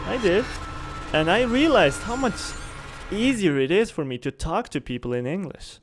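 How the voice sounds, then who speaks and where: Chatty man with a higher voice. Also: expressive, moderate pace, background noise. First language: German. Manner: rambling, self-correcting, chuckles often. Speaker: streamer. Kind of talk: casual chat while gaming on stream.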